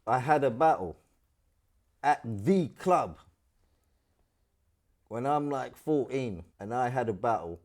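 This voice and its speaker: A middle-aged man from Trinidad, telling his story as an interview guest in animated, slangy casual talk. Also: mid-range voice, slow pace, long pauses. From Trinidad and Tobago.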